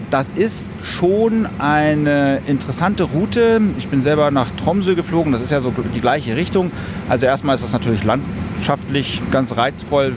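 A man, an airline pilot, giving thoughtful, measured explanations in an interview. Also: medium pitch. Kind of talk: interview answers, explanatory chat. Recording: clear vehicle-like ambient noise.

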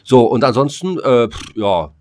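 A man with a German accent bantering casually as a podcast host, in a halting, rambling way that often stumbles. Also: mid-range voice, very animated, darkish tone. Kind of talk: casual banter between friends.

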